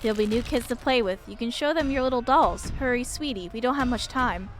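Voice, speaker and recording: A woman voicing an anxious, hurried mother who coaxes her small child in a visual novel. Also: on the high side, natural voice. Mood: coaxing, hurried.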